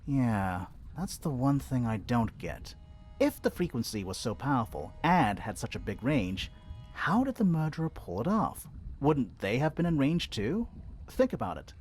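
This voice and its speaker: An eager male detective from a mystery game, reasoning aloud in a theatrical way. His pitch swings wildly from line to line, and music plays behind him. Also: sing-song, unhurried, darkish tone. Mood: explaining, intrigued. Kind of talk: video game voice acting, dialogue. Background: background music.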